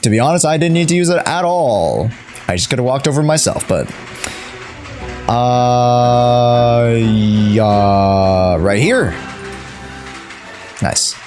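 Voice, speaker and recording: A man's voice: medium pitch, takes it slowly, pauses often, darkish tone, soft background music. A young man streaming a game with casual, playful, chatty commentary. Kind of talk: casual live gaming commentary.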